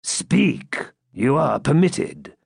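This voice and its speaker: A man voicing a severe elderly Grand Master of a holy order, speaking slowly and forcefully as he accuses. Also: a little high, big pitch swings, vocal fry, breathy edge, dry recording, clean studio sound, voice pushed up, exaggerated voice. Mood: stern. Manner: slow, forceful, accusing delivery.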